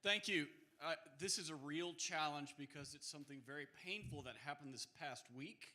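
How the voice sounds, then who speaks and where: A man delivering an earnest personal speech slowly at a speaking workshop. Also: a little high, sharp tone, slight background noise. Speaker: workshop participant giving a speech.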